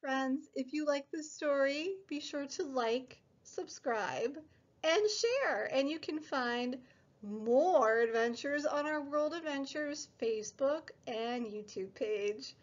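A young woman hosting a preschool story time, reading a picture book in a sing-song, exaggerated way with drawn-out words. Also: high voice, expressive, muffled recording. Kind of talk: picture book read-aloud for small children.

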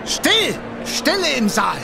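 A man's voice: very high voice, huge pitch swings, slow pace, gravelly, noisy background, exaggerated voice. A man playing an agitated, commanding investigator in a radio drama, urgently barking orders. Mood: shouting, agitated. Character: agitated, commanding male investigator pursuing a fugitive.

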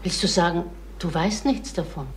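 Actress as anxious middle-aged wife. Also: female voice, fairly low pitch, moderate pace, a little hiss or hum, close to an everyday voice. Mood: anxious, pressing. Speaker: actress in a radio crime play. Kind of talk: German radio crime drama acting.

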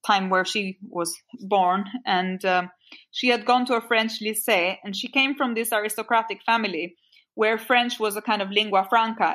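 A woman in her forties from Albania speaks with a clear, bright tone. She tells her memories thoughtfully and unhurriedly, pausing to correct herself mid-phrase. Her voice sits at an ordinary middle pitch. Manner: thoughtful, recalls memories with small restarts. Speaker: guest. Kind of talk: reflective interview storytelling.

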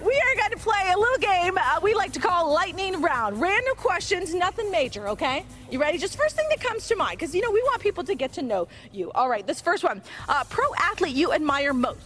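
A woman in her thirties, a TV morning show reporter doing bubbly, excited live interviews on an outdoor soccer field with a handheld mic. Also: very high-pitched, a little fast, few pauses, faint music behind.

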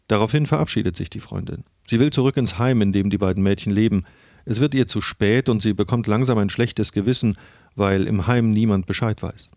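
A man reading a scripted true-crime narration in a measured, deliberate way. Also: fairly low pitch, a little mellow, dry recording, studio quality.

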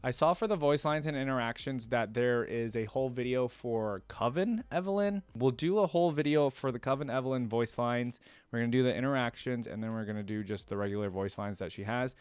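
A man's voice: mid-range voice, fairly quick, clean tone, no echo. A man in his twenties giving casual reaction commentary and reading story text aloud, close on a desk mic indoors. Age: 20s. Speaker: reaction video host. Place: indoor room at a desk with gaming chair.

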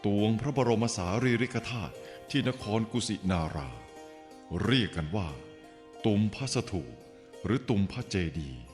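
Deep-voiced man narrating with expressive lilt. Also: measured pace, long reverb tail, music in the background. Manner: formal, expressive storytelling. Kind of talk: scripted narration.

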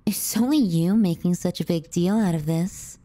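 A woman playing a teasing, callous, clingy younger sister in an intimate ASMR roleplay, sardonic and often hushed. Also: on the low side, moderate pace, close to an everyday voice. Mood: bossy, exasperated. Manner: sardonic, needling, dropping into hushed murmurs.

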